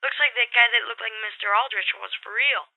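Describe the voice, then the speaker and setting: A woman voicing an excitable, dramatic young boy heard through a telephone filter in an audio drama. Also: fairly high pitch, fairly quick. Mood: dramatic, urgent. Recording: tinny, band-limited phone line.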